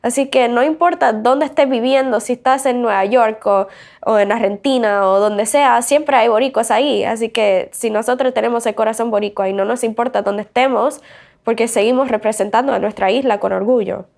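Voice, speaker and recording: Woman, Puerto Rican accent, gravelly creak, fairly high pitch, athlete, documentary interview. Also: moderate pace, darkish tone. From Puerto Rico.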